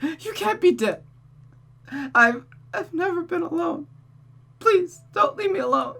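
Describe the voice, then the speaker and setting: A woman's voice: very high voice, lively intonation, unhurried, gravelly, sharp tone, voice pushed up, full character voice. A woman voicing a spiteful, unhinged young woman killer from a visual novel, dramatic, pleading and tearful. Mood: sobbing, grieving.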